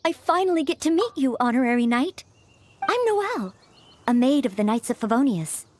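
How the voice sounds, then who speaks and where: A woman voicing a polite, earnest young maid who trains to be a knight in a video game, gentle and slightly formal in manner. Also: high-pitched, big pitch swings, normal speed, a little hiss or hum. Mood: polite, eager.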